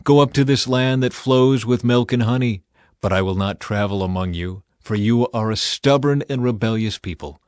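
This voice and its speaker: A man's voice: medium pitch, moderate pace, darkish tone, no echo, clean studio sound, natural voice. A man voicing a grave, stern God with solemn authority in a scripture reading. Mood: stern warning. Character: stern God speaking to Moses, commanding and grave.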